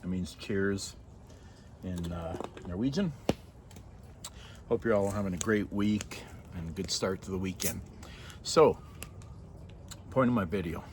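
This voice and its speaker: Older man, slightly low voice, casual vlog monologue, hesitant, sincere, reflective, outdoors, faint background noise. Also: measured pace, long pauses. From born in Norway, moved to Canada as a child. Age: 50s to 60s. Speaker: vlogger. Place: outdoors by a fire in a yard at night. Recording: noisy outdoor ambience.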